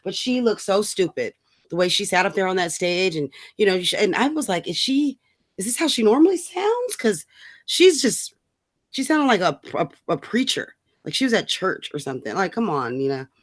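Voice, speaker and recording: A woman's voice: mid-range voice, very animated, normal speed. A woman co-host ranting casually about politics, scornful and emphatic, swearing freely. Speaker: talk show co-host.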